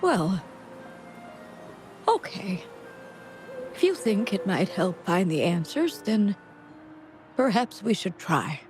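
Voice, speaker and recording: Slow-talking kindly old widow. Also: female voice, medium pitch, big pitch swings, a little mellow. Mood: hesitant, wistful. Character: kindly frail elderly widowed mother at a festival. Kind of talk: scripted video game voice acting. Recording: music playing behind the voice. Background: game music.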